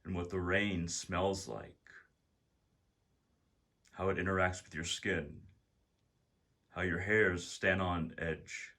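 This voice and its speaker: Guy doing guided meditation narration, really slow w pauses, deep but bright forceful voice. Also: little pitch movement. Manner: deliberate, measured phrasing with pauses.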